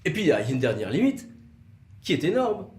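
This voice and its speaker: Man, didactic legal explainer, lively intonation, emphatic key words, roomy echo. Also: fairly high pitch, fairly quick. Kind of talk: explanatory legal video talk, semi-scripted. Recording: roomy, echoey room sound.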